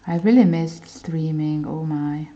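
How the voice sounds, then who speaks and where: A woman's voice: sits low, unhurried, dark tone. A woman from Hungary hosting a coloring livestream, chatting casually with viewers and reading their comments aloud. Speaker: livestream host. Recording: dull lo-fi stream audio.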